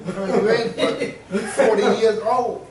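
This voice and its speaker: A man's voice: high voice, steady tone, normal speed. An older man gives a casual, joking health talk to a room full of people. He is folksy and repeats his words as he goes. He sounds far from the mic in an echoey space, and a crowd murmurs behind him. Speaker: wellness speaker. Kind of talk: informal health talk to a group. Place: indoor room with an audience. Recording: roomy, from a distance, with a crowd.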